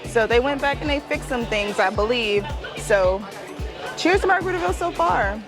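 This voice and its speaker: Woman, cruise vlog host, fairly high voice, chatty informal delivery, slang, music playing behind. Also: animated, normal speed. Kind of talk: casual vlog talk, explaining to camera. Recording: background music, busy crowd outdoors.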